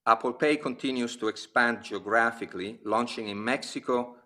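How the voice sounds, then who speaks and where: A man, a company executive, reading prepared remarks on an earnings call, giving figures in a measured, steady delivery with brief pauses between list items. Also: mid-range voice. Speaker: company executive presenting quarterly results. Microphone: conference call line.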